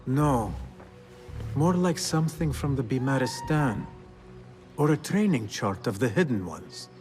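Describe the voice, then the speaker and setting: A man's voice: medium pitch, lively intonation, darkish tone, soft background music, lightly acted. An actor as a guarded, serious young male assassin. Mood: dry, skeptical. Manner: measured, questioning, formal. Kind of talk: dramatic video game cutscene acting.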